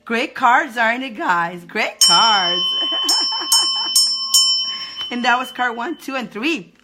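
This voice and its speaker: A woman's voice: a little high, lively intonation, takes it slowly, very noisy. A woman hosting a casual live-stream card reading, chatty and upbeat. She greets viewers by name, laughs often and repeats phrases for emphasis.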